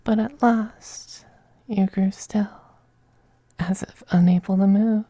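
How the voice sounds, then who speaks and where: A performer voicing a seductive, possessive male femboy vampire in an intimate ASMR roleplay, whispering softly and coaxing in a teasing, gently domineering way. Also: medium pitch, steady tone, takes it slowly, no room sound. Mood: adoring, possessive. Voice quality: breathy, soft whispering.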